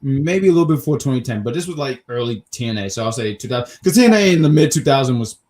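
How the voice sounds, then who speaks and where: A man with a highly animated voice that swoops up and down. He co-hosts a review show in loose, casual chat. He teases and jokes, rambling and repeating phrases as he makes his point. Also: fast talker. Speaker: co-host of a wrestling review show. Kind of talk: casual chat, opinionated commentary.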